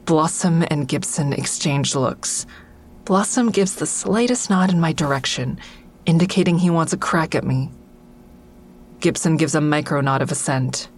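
Woman acting a low voiced edgy sarcastic gal telling her own story in an audio drama, bit of background noise. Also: moderate pace, natural voice. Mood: tense, brooding. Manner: sardonic, exasperated. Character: guarded, agitated woman narrating her police questioning, sardonic. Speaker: narrator and lead character. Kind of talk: first-person audio drama narration and dialogue.

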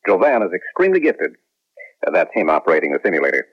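A stern American military colonel in a seventies radio play. He is proud and commanding, with a husky, swooping delivery. The broadcast sound is muffled. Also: deep voice, huge pitch swings, fast talker, full character voice. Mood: assured. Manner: commanding, authoritative. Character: stern, proud colonel who trains clones for space. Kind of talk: 1970s radio drama acting.

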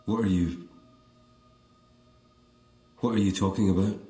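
An actor playing a headstrong young twin in an audio drama, bewildered and distrustful, questioning haltingly and intensely. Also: male voice, sits low, steady tone, warm tone, echoey room, soft background music. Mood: confused, suspicious. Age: young adult.

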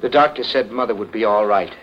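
A man's voice: lowish voice, quick pace, natural voice. An actor playing an anxious, protective young man, a devoted son, speaking earnestly in a 1940s radio drama. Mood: concerned, soothing. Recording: muffled 1940s radio recording.

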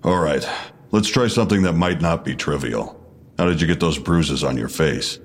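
An actor playing a stern, needling police detective questioning someone in a measured, sardonic way in an audio drama. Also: male voice, a bass voice. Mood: sardonic pressure. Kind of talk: full-cast audio drama interrogation.

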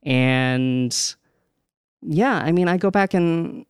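Very clear-voiced man. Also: on the high side, drawn-out words, no room sound, studio quality. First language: Cantonese. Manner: animated, with laughing asides. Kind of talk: casual chat.

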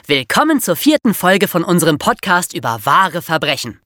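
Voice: mid-range voice, very animated, normal speed, sharp tone, no room sound, studio quality. A podcast co-host, switching between true-crime narration and banter.

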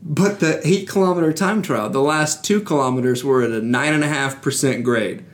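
A man's voice: medium pitch, normal speed. A man co-hosting a casual podcast, eagerly explaining bike racing to his friends. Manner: enthusiastic, explains cycling. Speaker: podcast co-host. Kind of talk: casual chat.

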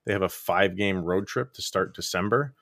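A man guesting on a sports podcast, chatting in a lively way and laughing easily. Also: deep voice, fairly quick, no room sound, studio quality. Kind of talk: casual sports chat.

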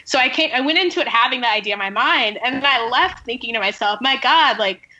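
A woman with a raised, forward and bright voice talks through her ideas in a casual discussion. She is reflective and conversational, leaning on little filler phrases like "you know". The sound is slightly dull and lo-fi. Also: fairly high pitch, animated, quick pace. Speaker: podcast panelist.

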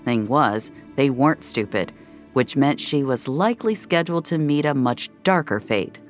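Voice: medium pitch, animated, moderate pace, soft background music. A narrator reading scripted audio fiction in a wry, hard-boiled storytelling manner.

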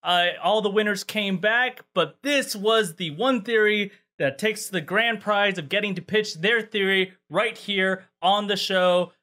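A man hosting a casual group show, joking and reading quick plugs. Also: high voice, pushed and bright. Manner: playful, laughing, quick plugs. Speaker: show host.

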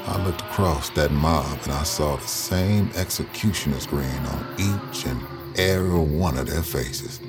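Rock-bottom bass male storyteller, Southern US, grave recollection over clear music bed. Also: unhurried, hardly any pauses. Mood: grave, foreboding. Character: man recounting his past, grave Southern storyteller. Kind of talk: first-person audio drama narration. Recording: music behind the voice.

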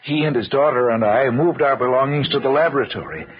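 An actor in an old-time radio drama playing a skeptical, uneasy scientist who recounts the tale in a measured, serious way. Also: male voice, medium pitch, close to an everyday voice. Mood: grave narration. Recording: muffled vintage radio recording, prominent music.

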